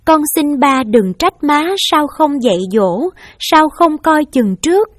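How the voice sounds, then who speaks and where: A woman's voice: high-pitched, expressive, takes it slowly, no room sound, clean studio sound, voice pushed up. A woman voicing a young daughter who tearfully begs her parents' forgiveness. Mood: remorseful. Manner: tearful pleading.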